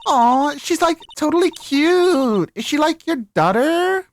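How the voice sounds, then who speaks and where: A man's voice: extremely high pitch, expressive, slow pace, slight background noise, exaggerated voice. A man voicing a ditzy, gushing young woman who drawls her way through video game dialogue with lots of filler words.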